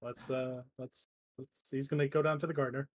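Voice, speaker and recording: A man improvising as a player in a tabletop roleplaying game, casual and halting in his delivery. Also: mid-range voice, level tone, slight background noise. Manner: hesitant, halting.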